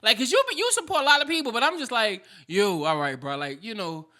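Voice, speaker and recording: Male podcast host, light voice, bright and forceful, chatty rambling delivery. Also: high-pitched, expressive, a little fast. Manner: loose and chatty, with many fillers and restarts. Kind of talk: casual podcast chat.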